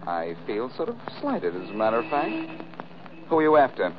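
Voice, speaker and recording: An American actor playing a wisecracking, cool-headed amateur sleuth in a breezy, insistent 1940s radio style. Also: male voice, medium pitch, huge pitch swings, moderate pace. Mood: wry, reasoning. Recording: muffled, noisy old radio recording.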